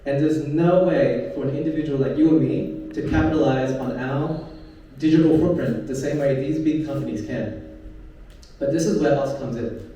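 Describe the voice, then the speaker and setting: A man pitching a startup on stage, earnest and rehearsed, then answering a judge's question. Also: moderate pace, warm tone, dull audio. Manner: earnest, rehearsed, a few fillers. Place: event venue. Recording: echoey room, from far off, faint noise.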